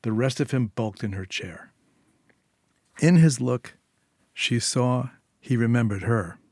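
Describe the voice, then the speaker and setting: A male narrator reads literary fiction at a medium pitch for a man. The recording is dry and close, with hardly any room around the voice. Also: slow pace, a little mellow, no room sound. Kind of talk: scripted short story narration.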